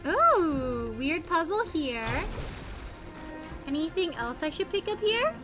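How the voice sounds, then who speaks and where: A woman livestreaming a video game in casual chat, expressive and exclamatory as she thinks aloud. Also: very high voice, slow, over music. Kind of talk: casual gaming stream chat.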